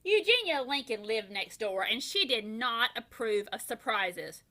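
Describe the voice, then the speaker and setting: A woman reading a children's bedtime story aloud like a first grade teacher, with expressive, deliberate storytelling. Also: on the high side.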